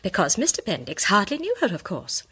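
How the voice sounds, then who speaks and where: A self-assured British lady detective in a radio drama. Her voice is bright and slightly husky, with lively swings of pitch. She lays out her case articulately and with condescending confidence. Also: fairly quick, exaggerated voice. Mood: assured, incisive. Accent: British English. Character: confident, incisive woman amateur detective, briskly superior. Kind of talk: radio drama acting, polished monologue.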